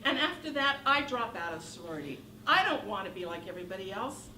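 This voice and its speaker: A woman in her sixties from Northern California telling a wry, animated comic monologue on a theater stage. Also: medium pitch, fast, over a noisy crowd. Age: 60s. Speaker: solo performer. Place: theater stage with live audience. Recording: echoey room from far off, noisy.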